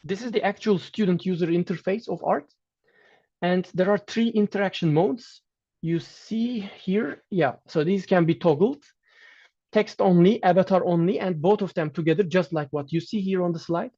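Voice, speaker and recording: Guest lecturer, male, Turkish native speaker, fairly high voice, measured delivery with restarts, dull lo-fi sound. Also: dark tone. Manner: measured, with frequent restarts and fillers. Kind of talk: online academic lecture with slides.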